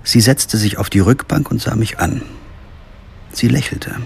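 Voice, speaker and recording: A man playing a lawyer who narrates a crime radio drama in a measured, understated way. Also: medium pitch, vocal fry, slight background noise. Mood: sober, reflective. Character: male lawyer, sober narrator of a crime story. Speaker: narrator and lead actor. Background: music.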